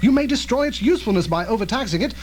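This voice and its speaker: A man's voice: very high-pitched, lively intonation, racing. A man playing an earnest, cautious scientist in an old-fashioned radio drama, speaking in a formal, precise way. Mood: pleading. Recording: noisy old tape with hum.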